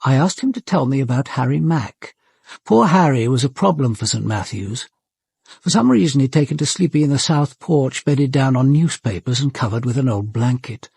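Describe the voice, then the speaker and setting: A British senior detective, very husky with a gravelly creak. He is composed and authoritative, narrating and giving orders matter-of-factly in a dry radio drama recording. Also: medium pitch, animated, darkish tone, no room sound. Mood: measured, reflective. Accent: British English. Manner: measured, matter-of-fact.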